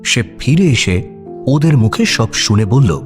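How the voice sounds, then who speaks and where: A man's voice: mid-range voice, big pitch swings, slow pace. A man narrating a scripted story in a dramatic storytelling style. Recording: music bed under voice. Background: background music.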